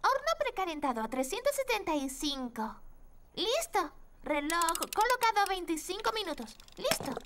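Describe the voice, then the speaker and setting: Very high, lively little cartoon girl. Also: normal speed, heavy background noise. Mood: focused. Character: sweet, earnest, supportive little girl cartoon heroine. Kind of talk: animated children's series dub. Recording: music bed behind the voice.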